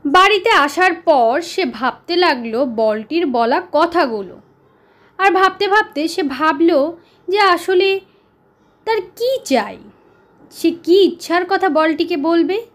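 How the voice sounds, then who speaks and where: A woman narrating a children's story as a storyteller, deliberate and pausing for dramatic effect. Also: very high-pitched, expressive, fairly bright tone.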